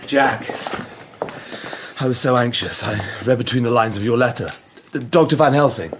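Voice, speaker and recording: An actor playing an anxious, devoted young gentleman in a radio drama, speaking earnestly and politely. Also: medium pitch, moderate pace, background noise, natural voice. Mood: anxious, eager.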